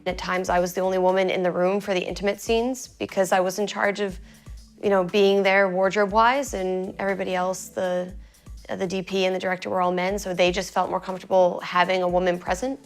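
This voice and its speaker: Wardrobe lady, gravelly voice, pretty flat, soft and diplomatic. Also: lowish voice, brisk, soft background music. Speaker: wardrobe crew member. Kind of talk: documentary interview.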